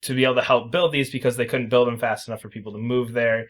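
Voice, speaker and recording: Male podcast host, mid-pitched, chatty and informal, talks through explanations with casual emphasis. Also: level tone, fast talker. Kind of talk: casual video game review chat.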